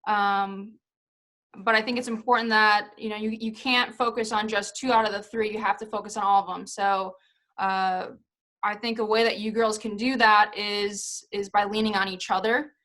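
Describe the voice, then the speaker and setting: Bright-voiced woman speaking on a video call. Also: medium pitch, level tone, moderate pace. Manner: chatty, many fillers and hedges. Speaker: guest speaker, former pro soccer player. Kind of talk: casual talk on a video call.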